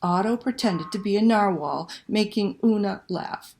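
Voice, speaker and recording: A woman telling a picture-book story to children in a dramatic, expressive read-aloud style. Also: mid-range voice, measured pace. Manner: dramatic, animated delivery with hushed passages and exclamations.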